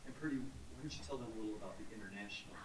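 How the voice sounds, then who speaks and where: A man's voice: mid-range voice, very fast. Distant male moderator in a roomy space. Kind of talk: panel moderating. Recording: roomy, distant, faint hiss.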